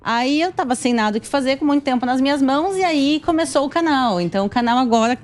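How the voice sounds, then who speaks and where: A woman's voice: high voice, expressive, fast talker. A woman in her thirties with a Porto Alegre accent from southern Brazil, telling stories conversationally as an interview guest. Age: 30s. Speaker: tour guide and YouTuber guest.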